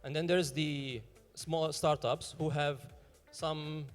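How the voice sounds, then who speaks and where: A man pitching his startup and answering questions on stage, talking fast with many fillers and restarts. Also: fairly even intonation, long reverb tail, faint music behind.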